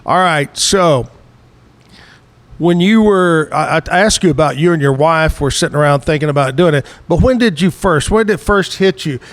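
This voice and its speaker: A man's voice: on the high side, normal speed. A man hosting a casual live interview at an outdoor bar stage, chatty and jokey. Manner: chatty, laughs easily, jokey. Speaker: show host.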